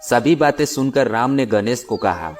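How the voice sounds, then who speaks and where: A man's voice: medium pitch, animated, normal speed. A man narrating a scripted moral tale for children as the storyteller. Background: background music.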